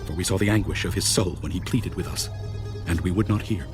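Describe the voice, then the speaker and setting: A man plays a remorseful brother in a biblical audio drama. His voice is very low, somber and confessional. Music swells clearly underneath. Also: brisk, lightly acted. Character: remorseful, guilt-ridden Hebrew brother. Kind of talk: dramatized audio Bible acting. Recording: loud music bed.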